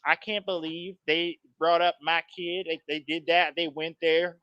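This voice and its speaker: A man's voice: a little high, level tone, sharp tone, no room sound, dull audio. A man hosting an online panel, venting bluntly with plenty of swearing. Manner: blunt, profane, quick to vent. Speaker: panel host. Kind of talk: livestream panel chat.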